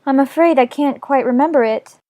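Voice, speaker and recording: A man voicing a polite, earnest seven-year-old girl who speaks gently. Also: extremely high pitch, a little fast, dry recording, pitched above the performer's own voice. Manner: gentle, polite, a bit anxious.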